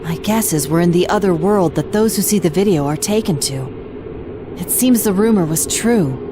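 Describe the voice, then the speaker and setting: A woman's voice: fairly low pitch, moderate pace, noisy background, lightly acted. A woman voicing a polite, serious, analytical young woman with an American accent in an anime-style game, formal and articulate. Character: composed, analytical young female detective type, polite and serious.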